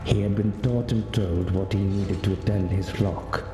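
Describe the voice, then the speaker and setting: An actor playing a calm, wry male mentor in a video game, speaking in a measured, formal, instructive way. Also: low-pitched, natural voice. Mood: solemn, instructive. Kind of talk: video game cutscene acting. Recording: echoey hall reverb, music behind.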